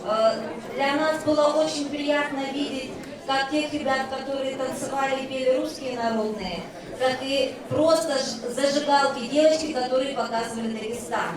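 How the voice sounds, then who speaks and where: A woman guest giving an enthusiastic interview comment in quick bursts. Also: high-pitched. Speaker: festival guest. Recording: echoey hall from far off, crowd noise.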